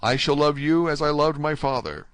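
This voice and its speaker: A man's voice: on the high side, normal speed, fairly bright tone, clean tone, dry recording, pitched above the performer's own voice, natural voice. A man reading an audiobook, voicing a desperate young male prisoner who pleads fervently. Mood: pleading. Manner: fervent, imploring.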